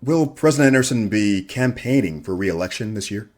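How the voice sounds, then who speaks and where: A man acting as a local radio reporter in a radio play. His voice sits fairly low, and he comes across as courteous and earnest, putting his questions in a measured manner. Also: animated, natural voice. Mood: polite, probing. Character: polite, earnest male local radio interviewer. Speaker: actor in an audio drama. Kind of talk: radio theater acting, news interview questions.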